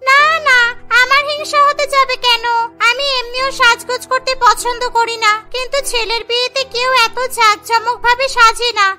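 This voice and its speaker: A woman voicing a teasing, sarcastic friend in a cartoon, with mock flattery. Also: very high-pitched, normal speed. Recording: loud music over the voice.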